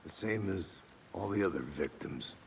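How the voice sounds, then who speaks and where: Deep, gravelly, world-weary male agent. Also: lively intonation, normal speed, slight background noise. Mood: weary, matter-of-fact. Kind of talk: video game dialogue.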